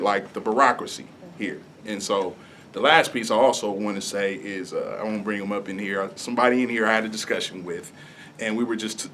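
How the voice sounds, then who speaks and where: A man with a low voice presents a plan at a city hall meeting. His delivery is loose and conversational, full of uhs and ums. Faint room noise runs underneath. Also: fairly bright tone. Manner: conversational, with frequent uh and um fillers. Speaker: community organizer presenting a proposal.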